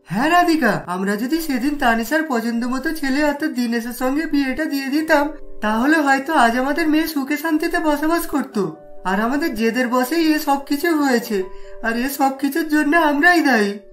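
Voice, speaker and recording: A woman's voice: high-pitched, normal speed, faint music behind, close to an everyday voice. A woman voicing a middle-aged village father in a cartoon story, protective and regretful. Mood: regretful, consoling.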